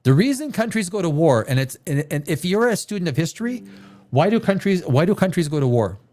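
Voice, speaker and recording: A man's voice: high-pitched, very animated, brisk, a little mellow. A man hosting a livestream chat, blunt and profane, hammering his points with repetition. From Canada. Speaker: livestream host.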